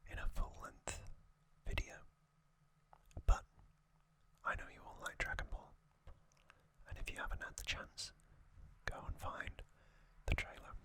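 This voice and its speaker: An ASMR host whispering trivia narration slowly and deliberately in short phrases, with a voice slightly strained from singing. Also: sharp tone. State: voice slightly off after singing hard.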